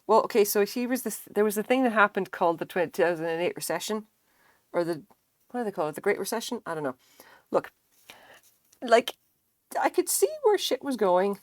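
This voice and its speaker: A woman's voice: fairly low pitch, no room sound, clean studio sound. A woman in her thirties with an Irish accent chatting casually on a live stream, rambling, swearing freely and laughing often. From Ireland. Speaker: crochet streamer.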